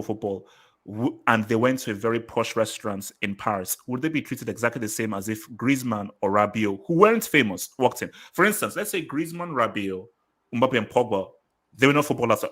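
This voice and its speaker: A man who grew up in Nigeria and England, co-hosting a podcast and thinking aloud in halting, restarting sentences. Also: mid-range voice, a bit bright. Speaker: podcast co-host. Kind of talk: casual conversational podcast chat.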